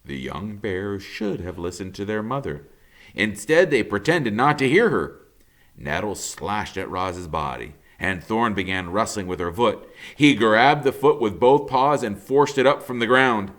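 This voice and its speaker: Slow, animated man narrating a storybook. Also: fairly low pitch. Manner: theatrical, emphatic, with dramatic pauses. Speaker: teacher reading a children's novel aloud.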